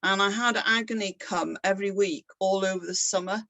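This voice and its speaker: A woman's voice: mid-range voice, fairly even intonation, fairly quick, pushed and bright, dry recording. Middle-aged woman, artist talk, chatty and self-deprecating, mid-range voice, dry close sound. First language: English. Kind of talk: casual presentation.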